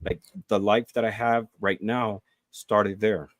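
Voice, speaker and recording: A man in his forties talks earnestly as a guest, pausing on fillers and restarting his sentences. His voice sits low, and the sound is close and dry. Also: fairly even intonation, no room sound. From Puerto Rico. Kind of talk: casual interview chat.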